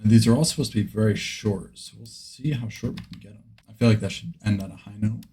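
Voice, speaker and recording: A man's voice: on the low side, quick pace, warm tone, breathy edge. A man hosting a vlog in a casual, conversational style. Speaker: video host, beginner voice actor.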